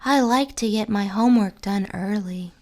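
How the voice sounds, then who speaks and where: A male narrator voicing a sad, sporty young schoolgirl who speaks softly and tearfully. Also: high-pitched, moderate pace, voice pushed up. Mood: quiet. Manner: soft, tearful pleading.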